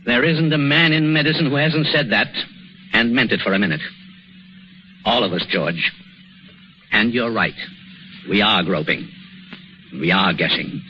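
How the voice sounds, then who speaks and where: An actor playing a grave, weary older doctor, earnest and measured, in 1930s radio drama style. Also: male voice, lowish voice. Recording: muffled, noisy 1930s radio recording.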